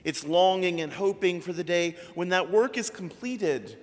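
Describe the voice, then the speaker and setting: Priest in his 30s, fairly high voice, slow deliberate sermon delivery, long church reverb. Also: lively intonation. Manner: slow, measured preaching with trailing drops in pitch. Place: church sanctuary at a pulpit. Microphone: pulpit microphone.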